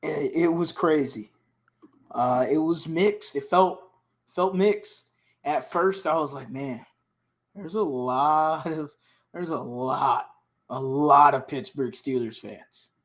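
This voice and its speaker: A man co-hosting a sports podcast. His voice is raspy and hoarse from cheering, and he talks in an excited, rambling way, often restarting his sentences. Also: fairly high pitch, slow pace. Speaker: podcast co-host. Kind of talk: casual sports podcast chat.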